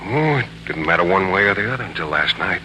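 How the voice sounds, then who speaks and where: An actor playing a polite, disillusioned war veteran in a 1940s radio drama, terse and understated. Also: male voice, sits low, expressive, quick pace, bright, forceful tone, heavily acted, rough recording. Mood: subdued, frank. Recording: hissy old 1940s radio recording.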